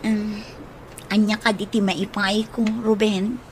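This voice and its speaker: A woman's voice: mid-range voice, flat delivery, takes it slowly, a little mellow, natural voice. A woman with an Ilocano accent playing a plaintive young woman in a radio drama, on a noisy recording. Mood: hesitant.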